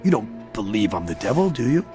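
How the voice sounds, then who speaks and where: A man's voice: on the low side, big pitch swings, a little fast, dark tone. An older man acting in a radio drama as a sly, gleeful devil posing as a crazy old man, with a wheedling, mock-polite manner. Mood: sly persuasion. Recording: music under the voice.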